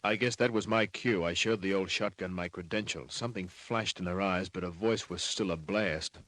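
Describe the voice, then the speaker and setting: Laconic hard-boiled private eye. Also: male voice, low voice, moderate pace, a bit bright, no echo. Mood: wry narrating. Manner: laconic, slangy, cynical. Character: wry, hard-boiled male private detective narrator. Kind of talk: old-time radio detective narration and dialogue.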